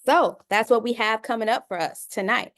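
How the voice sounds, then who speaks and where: A woman's voice: on the high side, normal speed, fairly bright tone. A woman from Chicago facilitating an online panel discussion, talking in a conversational way and calling her listeners y'all. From Chicago, United States. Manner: conversational, addresses listeners as y'all, many uh fillers. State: dry mouth.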